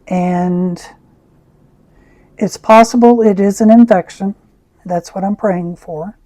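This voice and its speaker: A woman with a raspy voice, who often clears her throat as she chats through an art tutorial. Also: fairly low pitch, no room sound. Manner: slow, soft-spoken, frequent throat clearing. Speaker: art channel host. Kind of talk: casual art tutorial chat.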